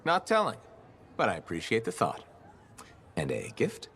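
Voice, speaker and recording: An actor playing a confident young freelance pilot in a video game, casual and straight-talking with a dry wit. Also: male voice, on the low side, wildly swooping pitch, moderate pace, vocal fry, a bit bright, slight background noise, exaggerated voice. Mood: playful, teasing. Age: young adult. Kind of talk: scripted video game dialogue.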